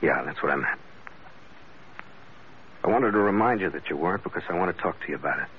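Hardboiled skipper-sleuth, very low-voiced and terse, muffled vintage radio sound with clear noise. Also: male voice, lively intonation, fast talker. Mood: steady, probing. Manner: terse, hardboiled, matter-of-fact. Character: tough, calm American sea skipper turned amateur detective. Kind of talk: 1940s-50s radio drama acting, first-person narration and dialogue. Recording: muffled, noisy old radio recording.

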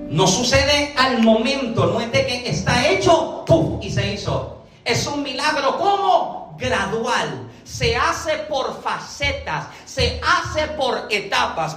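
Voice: mid-range voice, a bit bright. Puerto Rican preacher in an echoey hall. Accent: Puerto Rican Spanish. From Puerto Rico. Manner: rhetorical questions, repeatedly checking that listeners follow. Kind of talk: sermon to a congregation. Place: large echoey room, church service. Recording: echoey hall, distant mic, music behind.

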